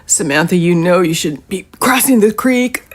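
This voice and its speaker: Feverish mother, voiced by a woman, husky, coughing, soft and protective. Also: fairly low pitch, animated, normal speed. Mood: weary. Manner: soft, tired, gently protective. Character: sick, caring mother, middle-aged woman.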